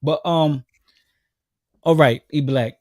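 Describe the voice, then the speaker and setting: A man's voice: on the high side, slow, darkish tone, no room sound. Man on a panel, dry close sound. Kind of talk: panel discussion.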